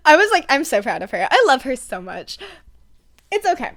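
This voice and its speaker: A young adult woman chatting casually and excitedly to listeners as a podfic reader, rambling in slangy, emphatic speech with some swearing. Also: high voice, normal speed.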